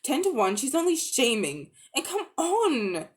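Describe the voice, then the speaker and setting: A woman voicing a bold, spiteful young girl in a storybook, exclaiming in a sharp, impatient manner. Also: sits high, huge pitch swings, unhurried, voice pushed up, heavily acted. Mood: impatient urging.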